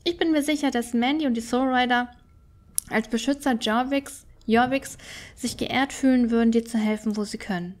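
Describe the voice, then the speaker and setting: A small fox-like sage from a fantasy game, read aloud by a woman, talks in a slightly high voice. The creature is courteous and inquisitive, with formal phrasing. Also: normal speed, natural voice. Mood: earnest.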